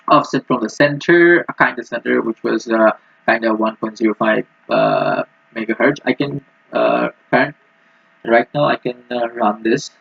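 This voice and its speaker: Husky, halting man in a roomy recording. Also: mid-range voice. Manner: halting, hesitant delivery. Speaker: student presenter. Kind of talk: technical screen-recording walkthrough. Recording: muffled, roomy lo-fi microphone.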